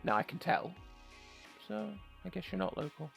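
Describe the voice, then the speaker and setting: Male gamer, higher-pitched with heavy vocal fry, joking and rambling between read-aloud lines, music underneath. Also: very animated, moderate pace, a bit bright. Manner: wry, rambling, joking asides. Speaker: gamer and streamer doing a let's play. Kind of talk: casual game commentary mixed with reading story text aloud.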